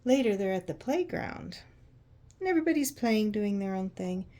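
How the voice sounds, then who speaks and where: A woman narrating a picture book to children in an expressive, conversational way, using simple explanations and checking in with questions. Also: medium pitch, normal speed. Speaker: children's read-aloud host.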